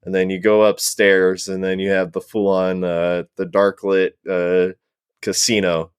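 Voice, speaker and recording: A man's voice: deep voice, little pitch movement, unhurried, smooth, no echo, studio quality. A man chatting casually as a guest on a panel, describing things in an informal way. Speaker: podcast guest, cosplay prop maker. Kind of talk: casual chat.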